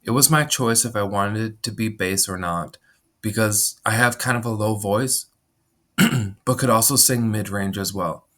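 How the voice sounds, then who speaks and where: Man, 30s, from Minnesota; slightly low voice, measured memoir read, occasional throat clearing. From Minnesota, USA. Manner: measured, steady reading with frequent throat clearing. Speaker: memoir narrator. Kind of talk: reading a personal memoir aloud.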